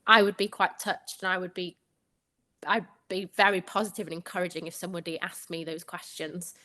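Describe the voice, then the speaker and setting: A woman's voice: fairly low pitch, level tone, brisk, sharp tone. A young woman with a Welsh accent, Welsh her first language, answering interview questions in a chatty way, laughing often between her points. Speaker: guest, anaesthetics trainee.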